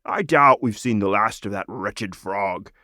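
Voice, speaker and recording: A man's voice: fairly low pitch, animated, a little slow, sharp tone, dry recording, full character voice. Guy doing a noble lizard wizard for a kids fantasy book, proud n solemn, big speechy delivery, close dry sound. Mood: solemn, earnest.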